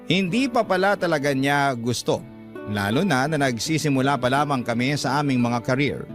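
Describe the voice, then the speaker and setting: Actor for sincere first-person radio drama narrator, male, mid-range pitch, reflective, over a music bed. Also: lively intonation, normal speed, close to an everyday voice. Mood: earnest, reflective. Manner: earnest, reflective. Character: devoted man recounting his love story as letter writer.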